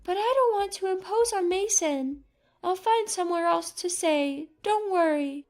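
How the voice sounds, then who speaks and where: A woman's voice: extremely high pitch, unhurried, darkish tone, faint music behind, pitched above the performer's own voice. A woman voicing a modest, practical older mother in a narrated family drama. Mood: reluctant.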